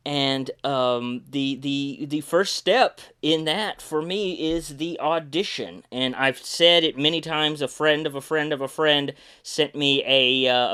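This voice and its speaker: A young man from Alabama hosting a podcast, telling behind-the-scenes stories in a relaxed, rambling way with many fillers. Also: medium pitch, clear, resonant tone. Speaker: podcast host, puppeteer. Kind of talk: casual recorded monologue.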